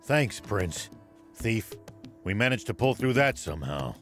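An actor playing a gruff, clever, resolute old tank driver in a dramatic video game cutscene. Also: male voice, on the low side, big pitch swings, measured pace. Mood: calm, resolute. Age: older. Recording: loud music behind.

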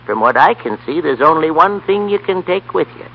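A man's voice: fairly high pitch, expressive, a little fast, creaky, lo-fi sound. An actor playing a kindly, plain-spoken old grandfather in folksy 1930s radio style. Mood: gently chiding. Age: older.

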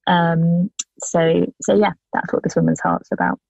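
A woman singer-songwriter in her thirties, answering interview questions thoughtfully with many pauses and restarts. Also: on the low side, very animated, creaky, muffled recording.

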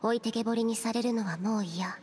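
A woman playing a reserved, quietly stubborn teenage sister in an anime game, with a deadpan, quiet delivery. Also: a little high, a little fast, slightly rough. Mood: hurt, determined. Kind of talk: Japanese anime game voice acting.

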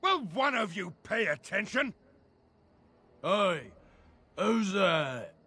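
An actor playing an irritable, gruff male bandit on watch in a fantasy game, scolding in a rough voice. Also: sits high, big pitch swings, takes it slowly, bright, forceful tone, exaggerated voice. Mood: irritated. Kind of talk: fantasy game dialogue acting.

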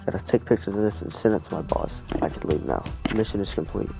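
A man's voice: low voice, fast talker, vocal fry, music in the background. A man playing a brusque military squad captain in a video game roleplay, giving terse orders. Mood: stern.

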